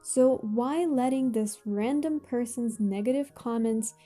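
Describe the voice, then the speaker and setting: A woman with a Russian accent delivers motivational advice in a gently high, mellow voice. Her phrasing is measured and deliberate, with pauses that stress key words. Faint music plays behind her. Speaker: host of a self-improvement channel. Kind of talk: motivational advice talk to viewers.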